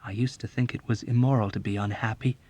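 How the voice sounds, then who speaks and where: A man voicing a meek, unhappy military chaplain in an audiobook, speaking softly in a plaintive, apologetic, earnest way. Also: on the low side, fairly even intonation, fast talker, close to an everyday voice. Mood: dejected. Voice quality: soft.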